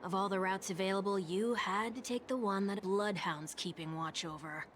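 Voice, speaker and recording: A woman's voice: medium pitch, moderate pace, a little hiss or hum, natural voice. An actress playing a wry, teasing woman in a video game, with a sardonic, dry manner. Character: wry, teasing woman, jaded companion of a fugitive.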